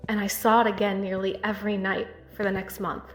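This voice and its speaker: A woman acting in an audio drama as a calm, protective town sheriff carrying grief, speaking in a measured, earnest way. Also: medium pitch, natural voice. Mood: sorrowful, haunted. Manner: measured, earnest, halting when emotional. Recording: echoey tunnel-like reverb with music.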